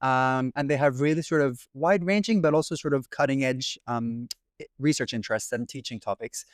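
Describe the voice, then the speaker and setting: A man's voice: mid-range voice, fairly quick, no echo, clean studio sound. A young man with an American accent who grew up in Italy, answering interview questions as a classics researcher, fluent with frequent ums. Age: young adult. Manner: talks fluently with frequent ums and sort ofs. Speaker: guest, doctoral researcher in classics.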